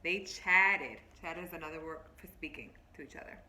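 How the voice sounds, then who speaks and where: A woman with a bright, slightly low voice tells a story as a teacher would to young children. She reads expressively, then breaks off to explain and pose questions to her listeners. The room adds a clear echo around her voice. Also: moderate pace. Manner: expressive, instructive, addressing children directly. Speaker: teacher reading a picture book to children. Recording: roomy, echoing, faint background noise.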